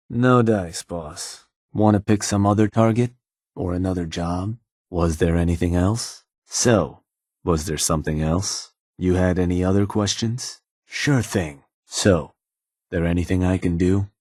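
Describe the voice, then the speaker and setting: A man's voice: low-pitched, a little mellow, no echo. An actor playing a laid-back male raider fixer who takes orders from his boss, speaking dry and matter-of-fact in video game dialogue. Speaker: gang fixer taking orders.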